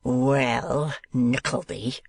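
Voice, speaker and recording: A man voicing a cruel, blustering middle-aged schoolmaster who sneers and bullies in a slow, menacing way. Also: mid-range voice, fairly even intonation, vocal fry, no room sound, exaggerated voice. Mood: sneering. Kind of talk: audiobook character voice.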